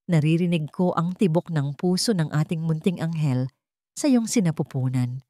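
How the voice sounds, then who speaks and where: Woman reading as tender Filipino lover, low voice. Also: no echo, studio quality. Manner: soft, loving, earnest. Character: devoted, tender young man writing a love letter.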